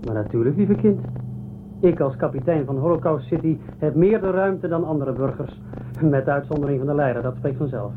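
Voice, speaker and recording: A man acts a sinister captain of an underground city in a radio play. His high voice is courteous on the surface and condescending underneath. The recording is thin and phone-like, with loud noise. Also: lively intonation, a little fast, lightly acted. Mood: haughty. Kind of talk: radio drama acting.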